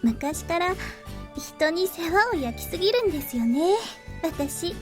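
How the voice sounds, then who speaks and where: Very high-voiced, polite young maid. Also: female voice, lively intonation, moderate pace. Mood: earnest, friendly. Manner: polite, gently chiding, prim. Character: cheerful polite young woman maid, looks teenage, big-sisterly. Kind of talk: Japanese visual novel voice acting. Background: music.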